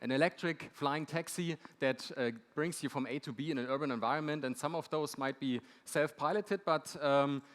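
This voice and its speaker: A man's voice: a little high, normal speed, few pauses, a bit bright. A man moderating a conference panel, introducing the topic and speakers from the stage. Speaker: panel moderator, university lecturer. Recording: echoey hall.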